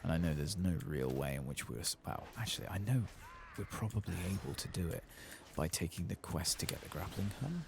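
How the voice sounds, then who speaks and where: A man doing soft-spoken ASMR commentary as he plays a game, murmuring to himself in a low-key way. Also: expressive, fairly quick, gravelly, few pauses, background noise. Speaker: game streamer, host of an ASMR playthrough. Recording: keyboard and mouse clicks behind voice.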